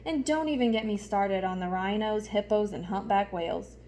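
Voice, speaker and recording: A woman's voice: mid-range voice, normal speed, mellow, slight background noise, natural voice. A woman voicing an exhausted, complaining gray crayon from a picture book, pleading wearily. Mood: weary, exasperated.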